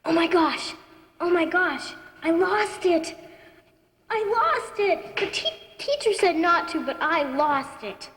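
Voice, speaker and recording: A woman's voice: very high voice, lively intonation, slow pace, fairly bright tone, exaggerated voice. A woman voicing a worried eleven-year-old schoolgirl in a children's puppet show, flustered and exclaiming. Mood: panicked. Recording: echoey hall.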